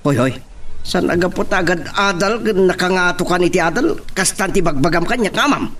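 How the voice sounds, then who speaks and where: A man's voice: high-pitched, lively intonation, normal speed, a bit bright. A man with a Filipino accent acting an irritable, proud father in a family radio drama. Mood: furious.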